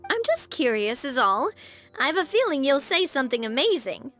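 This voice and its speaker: A woman's voice: sits high, normal speed, faint music behind. A woman playing a friendly, curious, chatty young woman in an English fantasy video game. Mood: curious, playful. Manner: expressive, chatty.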